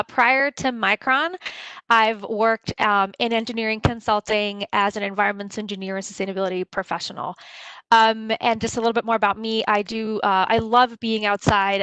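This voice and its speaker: A woman's voice: mid-range voice, fairly bright tone, clear, resonant tone, no room sound, poor audio. A woman in her thirties from Costa Rica, giving a friendly webinar presentation with frequent fillers.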